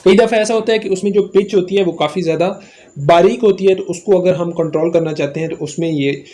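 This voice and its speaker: Male tutorial host, Pakistani accent, high-pitched voice, walking viewers through app steps. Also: brisk, dark tone. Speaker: host of a tech tutorial channel. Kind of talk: scripted-style phone app tutorial narration.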